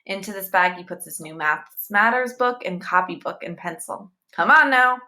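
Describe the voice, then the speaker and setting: A woman reading a children's novel aloud in deliberate, simple phrases, as if telling it to young listeners. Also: lowish voice, bright, forceful tone. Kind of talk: read-aloud storytelling with short asides to listeners.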